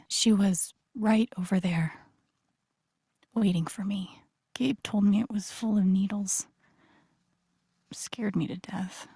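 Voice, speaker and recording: A young woman in a video game, quietly turning over a hard past. Her voice is soft and husky, often breathy, with a gravelly fry. She speaks in a subdued, confiding inner monologue, recorded very dry. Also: mid-range voice, no room sound. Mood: uneasy, reflective. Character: pensive, wounded young woman recalling a hard childhood.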